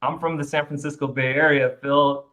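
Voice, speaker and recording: A man's voice: mid-range voice, fairly even intonation, moderate pace, a bit bright. A man hosting a webinar over a video call, upbeat and chatty as he welcomes attendees. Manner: upbeat, chatty, many fillers and restarts. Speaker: webinar host. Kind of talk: webinar presentation.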